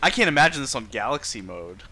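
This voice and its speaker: A man streams a video game and chats casually as he plays. His voice is bright and very lively in pitch, sitting at an ordinary height, with game music playing behind him. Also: quick pace, slight background noise.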